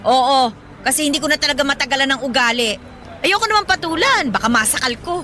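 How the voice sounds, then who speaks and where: Proud hardworking nanay in a radio drama, high bright voice, motherly, bit of music behind. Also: quick pace. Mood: indignant. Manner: animated, motherly, colloquial, playfully indignant. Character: hardworking, proud, affectionate 37-year-old mother driving a pedicab. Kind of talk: Filipino radio drama acting.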